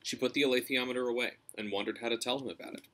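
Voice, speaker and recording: A man's voice: medium pitch, fairly even intonation, racing, bright, forceful tone, low-quality recording. An American man in his thirties narrating a children's novel, reading aloud on a porch. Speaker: storybook reader. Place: covered house porch, outdoors.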